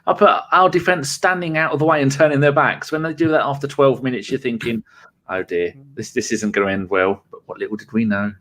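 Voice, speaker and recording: A man's voice: medium pitch, fairly even intonation, a little fast, a bit bright. A man co-hosting a football podcast, rambling through his notes with a jokey, teasing manner. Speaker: podcast co-host. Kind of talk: casual football chat.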